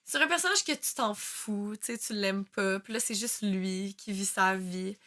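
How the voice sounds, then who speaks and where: A woman's voice: medium pitch, normal speed, bright, forceful tone. A young woman who grew up speaking another language, with a Quebec French accent, co-hosting a podcast in chatty, laugh-filled talk. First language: not French. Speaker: podcast co-host. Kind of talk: casual chat.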